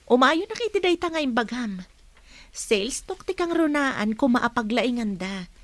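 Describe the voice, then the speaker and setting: A woman's voice: fairly high pitch, animated, moderate pace, dry recording, natural voice. A woman with a Filipino accent acting a businesslike office staffer in a radio drama.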